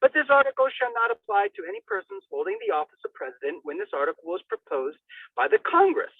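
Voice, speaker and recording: A man's voice: very high voice, huge pitch swings, fast, gravelly, husky, no echo. A man giving a theatrical, declamatory reading of a formal document, with sudden bursts of emphasis. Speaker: reader of a legal document. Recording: thin phone-like radio sound, distant mic.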